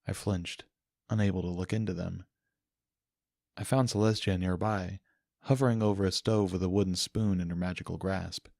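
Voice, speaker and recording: A man's voice: low voice, fairly even intonation, moderate pace, creaky, darkish tone, no echo, studio quality. A man narrating a first-person story as a dramatic audiobook reading.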